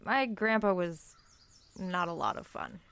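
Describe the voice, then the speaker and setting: A woman's voice: on the low side, measured pace, vocal fry, fairly bright tone, clean tone. A young woman acting in a radio drama as a secretive, frustrated college student with a casual, slangy manner. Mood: casual, awkward. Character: secretive, frustrated college-age granddaughter on a search.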